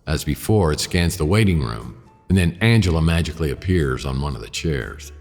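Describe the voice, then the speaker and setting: Very deep wry private eye. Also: male voice, animated, normal speed, gravelly, darkish tone, in a large hall, soft background music, full character voice. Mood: casual. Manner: wry, laconic storytelling. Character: wry hard-boiled male private investigator narrating his case. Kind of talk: first-person noir radio drama narration.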